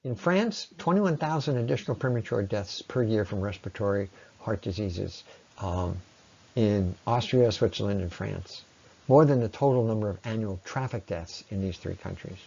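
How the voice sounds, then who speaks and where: A man giving an explanatory slide presentation as a lecturer, often pausing mid-sentence as he explains. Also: fairly low pitch, creaky, dark tone, breathy edge, lo-fi sound.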